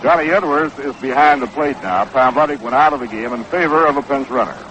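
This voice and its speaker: An American man calling baseball play-by-play in 1960s radio style, with a measured, unhurried, factual delivery. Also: medium pitch, a bit bright. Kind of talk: 1960s live radio sports commentary. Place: ballpark broadcast booth. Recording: dull, noisy 1960s AM radio broadcast.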